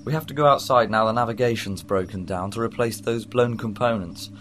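A man's voice: lowish voice, a little fast, fairly bright tone, slight background noise, natural voice. A man playing a weary, wry time-traveling Doctor with dry, understated humor. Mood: resigned. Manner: dry, gloomy, understated wit. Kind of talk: fan audio drama acting.

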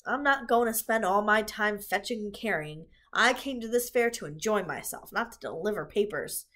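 A woman voicing a brash, greedy male rat who sneers and boasts loudly in a read-aloud storybook. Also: a little high, big pitch swings, normal speed, full character voice. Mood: sneering gleeful. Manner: loud, sneering.

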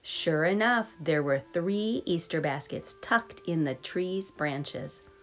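Female children's story reader, low register, expressive and measured, light music bed. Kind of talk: expressive read-aloud for young children. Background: soft background music.

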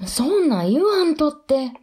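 A woman's voice: high voice, sing-song, normal speed, dark tone. An actress playing an anxious, supportive wife and mother worn down by poverty, with a Kansai accent. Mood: pleading.

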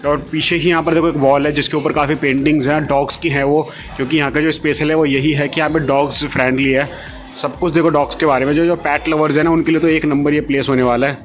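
Male vlogger, casual cafe walkthrough, slightly raised pitch, light music behind. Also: flat delivery, fast talker. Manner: chatty, explaining as he walks, filler-heavy. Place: dog cafe, indoor-outdoor seating.

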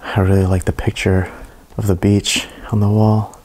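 A young man narrating a walkthrough of an abandoned mansion to a handheld camera, hushed and awed by what he finds. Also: fairly low pitch, little pitch movement, normal speed, a little hiss or hum, low-quality recording. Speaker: urban explorer vlogger. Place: inside a large abandoned mansion.

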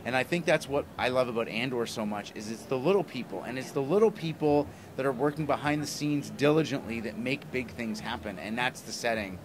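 A man's voice: mid-range voice, brisk, few pauses. A middle-aged man talking enthusiastically and emphatically in a casual interview, rambling a little as he goes. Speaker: comic writer, podcaster and interview guest. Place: small plywood booth at a convention. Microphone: handheld microphone held by interviewer. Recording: clear background noise at convention.

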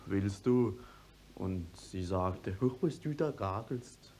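A man's voice: low voice, lively intonation, slow pace, dark tone, a little hiss or hum, heavily acted. An actor playing a stubborn, plain-spoken young peasant who tells his story at length in a radio play. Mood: earnest storytelling. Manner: rustic, stubborn, long-winded storytelling. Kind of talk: classic German stage radio drama acting.

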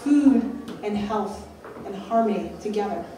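A woman's voice: mid-range voice, a little slow, a crowd in the background. A young woman speaks earnestly from a stage, piling up questions and leaning into her key words. Her voice carries through a very echoey hall, picked up from a distance. An audience can be heard around her. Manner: earnest, questioning, builds emphasis. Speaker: keynote speaker. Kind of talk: public lecture. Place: dark stage at a festival, indoors. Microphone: handheld mic on a stand. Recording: roomy hall from a far camera, audience.

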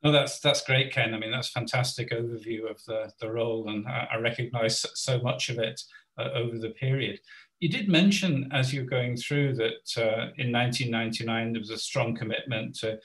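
Older male interviewer on a video call, halting questions with repeated words. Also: mid-range voice, fairly bright tone, poor audio.

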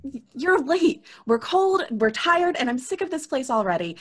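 An actress playing a whiny, fed-up young twin sister in an audio drama, complaining and sighing. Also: female voice, high-pitched, sing-song, moderate pace.

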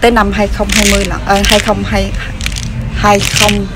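A woman in her thirties chatting casually as she walks a pharmacy aisle, talking into a phone camera held close and filling pauses with hesitations and restarts. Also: mid-range voice, completely flat delivery, heavy background noise. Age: 30s. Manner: chatty, many fillers and restarts. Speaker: shopping vlogger. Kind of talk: casual product walkthrough. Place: large pharmacy store, indoors.

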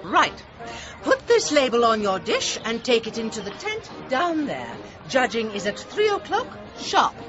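A snobbish English village wife, bossy and disdainful. Her pitch swoops dramatically as she hands out orders in radio drama style. The sound is dull, with music underneath. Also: wildly swooping pitch, a little slow. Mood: officious. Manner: officious, disdainful, exclamatory.

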